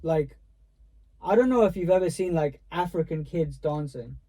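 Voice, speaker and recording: A man's voice: on the high side, normal speed, dark tone. A young man hosting a podcast, chatting in laid-back slang and swearing freely. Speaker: podcast host. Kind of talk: casual podcast chat.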